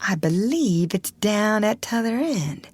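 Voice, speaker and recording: A woman's voice: medium pitch, lively intonation, takes it slowly, darkish tone, dry recording, pitched above the performer's own voice. A woman voicing a ragged, superstitious boy with a drawl who talks in a fearful whisper. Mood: confiding. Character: ragged superstitious boy, timid and rough-spoken.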